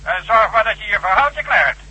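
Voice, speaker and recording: An actor playing an irate, scornful government minister bellowing through a telephone filter in a radio drama. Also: male voice, high voice, lively intonation, fairly quick, bright, forceful tone, husky, exaggerated voice. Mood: angry. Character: irate, blustering government minister, male, foul-mouthed bully. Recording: thin, harsh telephone line.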